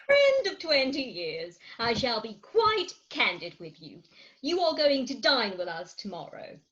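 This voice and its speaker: A woman's voice: fairly high pitch, wildly swooping pitch, a little slow, a bit bright, heavily acted. A woman acting a proud, coaxing middle-aged wife in a comic Victorian farce, theatrical and emphatic, on slightly dull online call audio. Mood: earnest, coaxing. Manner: theatrical, emphatic, grandly articulate. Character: imperious, coaxing Victorian English wife, thirty-six, proud. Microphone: remote home recording over video call.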